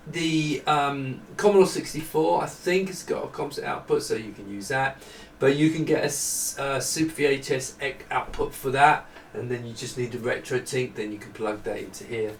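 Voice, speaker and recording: The sound is roomy and a little distant, with faint noise behind it. A man with a creaky edge to his voice talks through a product demonstration. He is casual and unscripted, thinking aloud and putting himself down as he goes. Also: medium pitch, normal speed. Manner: rambling, self-deprecating, thinking aloud. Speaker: hardware designer demonstrating a product.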